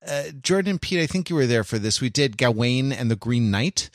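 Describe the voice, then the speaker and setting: A man hosting a casual panel podcast, talking in a halting, rambling way and often interrupting himself. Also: mid-range voice, expressive, dry recording, clean studio sound. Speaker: podcast host.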